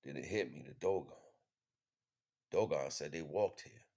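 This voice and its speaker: A man's voice: very deep voice, moderate pace, gravelly, mellow. A man hosting an informal spiritual teaching livestream, talking in slang with emphatic, repeated phrases. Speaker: livestream host.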